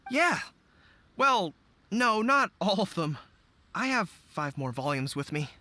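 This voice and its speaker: An earnest young general. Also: male voice, sits high, sing-song, slow pace, sharp tone, heavily acted. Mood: relieved. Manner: earnest, careful planner. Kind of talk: video game voice acting.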